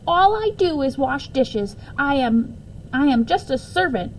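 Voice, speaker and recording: A woman's voice: sits high, lively intonation, unhurried, slight background noise, voice pushed up, low-quality recording. A woman voicing an aggrieved, dramatic eight-year-old runaway girl in a children's story. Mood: aggrieved, whiny.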